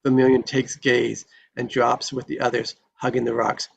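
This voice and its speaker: A man's voice: mid-range voice, flat delivery, moderate pace, creaky, slight background noise. A man reading screenplay stage directions in a dramatic narrating style at a table read.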